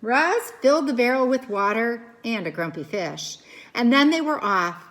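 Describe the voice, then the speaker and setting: A woman reading a children's book aloud as a teacher would, with expressive narration, dramatic pauses and questions for young listeners. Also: fairly high pitch.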